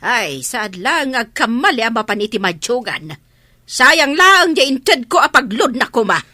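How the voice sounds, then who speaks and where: A woman's voice: fairly high pitch, big pitch swings, unhurried, bright, forceful tone, no echo, studio quality, heavily acted. A woman playing a middle-aged, scolding mother in a radio comedy-drama, nagging and reproachful, with an Ilocano Filipino accent. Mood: exasperated, reproachful. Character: scolding, exasperated older mother of grown children.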